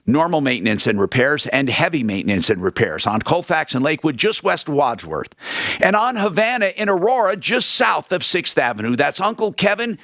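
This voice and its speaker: A man hosting a talk radio show, helping callers and reading ads in a brisk, salesman-like way. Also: on the high side, sing-song, no room sound, highs cut off. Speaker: radio host. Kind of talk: talk radio with live reads.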